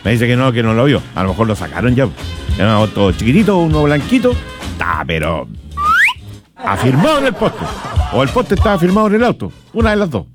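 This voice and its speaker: A man's voice: medium pitch, very animated, a little fast. A man with a Chilean accent, a radio host chatting casually and humorously in a rambling, colloquial way. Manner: rambling, jokey, colloquial, chuckles often. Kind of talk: casual humorous chat, anecdotes and listener greetings. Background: music.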